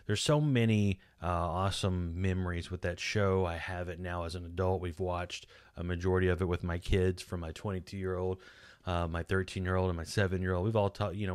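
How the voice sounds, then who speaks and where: Forties Texan male host, low voice, chatty rambler, dry close-mic home studio. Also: smooth, no room sound. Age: 40s. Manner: rambling, chatty, frequent restarts and fillers. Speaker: podcast host. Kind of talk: casual solo video commentary. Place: small home studio room. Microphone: boom-mounted studio mic close up.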